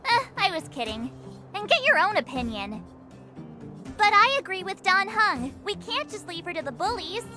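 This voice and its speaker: A woman's voice: extremely high pitch, big pitch swings, moderate pace. A woman playing a perky young girl adventurer in a video game, cheeky and frank. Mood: cheeky resolve. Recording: game music behind the voice.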